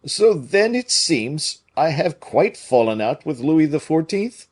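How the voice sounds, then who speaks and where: A man reading an audiobook, voicing a big, blustering, naive but good-hearted nobleman with loud, emphatic exclamations. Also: a little high, big pitch swings, takes it slowly, breathy edge, pitched above the performer's own voice, exaggerated voice. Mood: reproachful.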